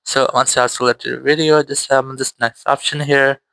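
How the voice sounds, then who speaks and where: Male tutorial host: crisp, even delivery, instructional step-by-step narration, close and dry. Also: medium pitch, a little fast, no echo, low-quality recording. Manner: instructional, repetitive step-by-step phrasing.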